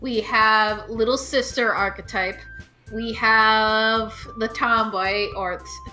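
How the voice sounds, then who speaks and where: Slow-talking, very bright young woman. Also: on the high side, fairly even intonation, faint music behind. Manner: giggly, slow and drawn-out. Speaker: co-host of a gaming channel. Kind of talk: casual let's-play chat.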